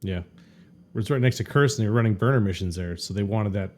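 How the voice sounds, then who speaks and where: Lowish voiced guy, blunt and sweary, joking around on a podcast. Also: quick pace, darkish tone, a little hiss or hum. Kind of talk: casual gaming chat.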